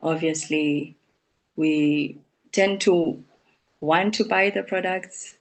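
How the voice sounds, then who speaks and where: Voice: mid-range voice, steady tone, takes it slowly, low-quality recording. Encouraging English teacher hosting an online class. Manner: encouraging, affirming with "truly" and "mm-hmm". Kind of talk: leading an online speaking class. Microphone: video call.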